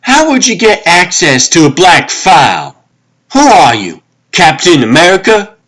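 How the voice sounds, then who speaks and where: Wary ex-agent weapons expert for a comic dub, played by a man: higher male voice, lively inflection, dry wit. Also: a little slow, full character voice. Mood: suspicious.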